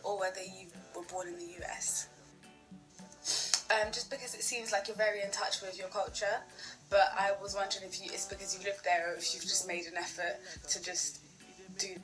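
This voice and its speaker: A woman with a London accent asks her question softly, pausing with little hesitations. Her voice sits in a middle register, with faint music playing behind her. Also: mid-range voice, level tone, rough recording. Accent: London English. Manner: soft, hesitant, many "um"s. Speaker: viewer sending a question.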